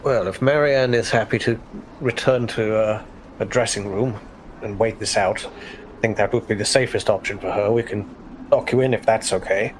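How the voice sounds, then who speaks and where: A man playing a tabletop game, talking in character with measured, slightly formal phrasing. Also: fairly low pitch, slight background noise, rough recording. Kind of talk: in-character roleplay.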